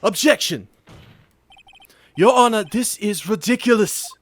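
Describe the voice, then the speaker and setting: A man's voice: high voice, expressive, a little slow, pitched above the performer's own voice. Man doing a haughty, swooping, indignant prosecutor. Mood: outraged. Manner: indignant, theatrical, emphatic. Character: haughty, hot-tempered male prosecutor, quick to object. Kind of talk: improvised video game voice-over.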